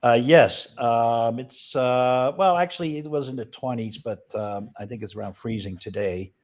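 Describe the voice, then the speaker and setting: A man's voice: mid-range voice, moderate pace. A man in his sixties from the Netherlands, a guest chatting easily on a video call with a tongue. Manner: relaxed, chatty, uses fillers. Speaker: guest, flower company CEO. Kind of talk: casual interview talk.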